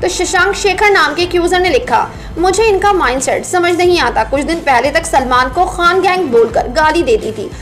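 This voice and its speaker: A woman's voice: very high voice, lively intonation, moderate pace, few pauses, fairly bright tone. A woman narrating scripted entertainment news as a voice-over. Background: background music.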